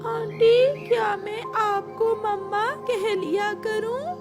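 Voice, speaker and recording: A woman's voice: very high-pitched, fairly even intonation, slow, exaggerated voice. A woman voicing a small, innocent boy parrot chick, sad and frightened, with a childlike cartoon delivery. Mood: hopeful. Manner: childlike, plaintive. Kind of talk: cartoon storybook dialogue. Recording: music behind the voice.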